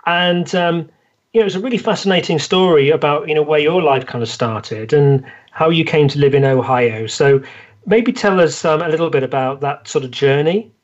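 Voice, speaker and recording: A man's voice: fairly high pitch, moderate pace. A man hosting a podcast interview, speaking with many ums and restarts as he introduces his guest and asks questions. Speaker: podcast host. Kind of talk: interview conversation. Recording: dull lo-fi audio.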